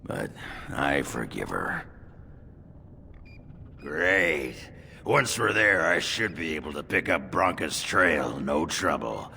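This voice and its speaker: A boozy dwarf warrior from a fantasy game. His voice is extremely low and heavily gravelled, with a forceful bite. He is blunt, gruff and coarse. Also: very animated, takes it slowly, long pauses, a little hiss or hum, exaggerated voice. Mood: gruff, grumbling. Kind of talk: video game voice acting. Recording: faint music behind.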